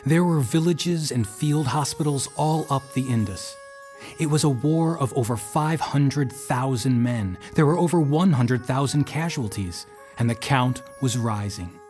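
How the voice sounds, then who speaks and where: A man's voice: mid-range voice, measured pace, a little mellow. Solemn male narrator over faint music. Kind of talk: scripted dramatic narration.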